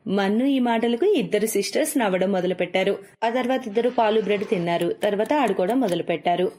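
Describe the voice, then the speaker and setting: A woman's voice: mid-range voice, fast talker, mellow, a little hiss or hum. A woman narrator reading a scripted moral story for children.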